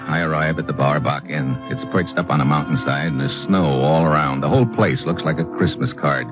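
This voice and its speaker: An actor playing a tough, brisk American secret agent in an old radio detective drama, with clipped first-person narration and dialogue. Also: male voice, very deep voice. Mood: tense, hard-boiled. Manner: clipped, hard-boiled delivery. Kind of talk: 1950s radio detective acting, scripted narration. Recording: muffled old radio recording, loud music.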